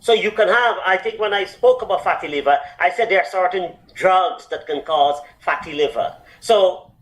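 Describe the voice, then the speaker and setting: A man's voice: sits high, moderate pace, fairly bright tone, low-quality recording. A man who is a doctor, explaining health advice on a talk show with lots of fillers and restarts. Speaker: doctor answering health questions. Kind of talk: medical advice chat.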